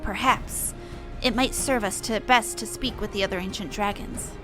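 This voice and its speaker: A woman voicing a gentle, thoughtful young dragon in a fantasy game, speaking in a measured, formal way. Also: medium pitch, faint music behind, lightly acted. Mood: calm. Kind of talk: reading game dialogue aloud in character.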